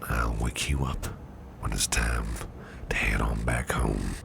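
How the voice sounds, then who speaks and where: A man playing a gentle, protective businessman boss in an ASMR roleplay, murmuring tender reassurance in a breathy near-whisper. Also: extremely low pitch, steady tone, slow, background noise, exaggerated voice. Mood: soothing, tender. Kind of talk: ASMR roleplay, soothing personal attention.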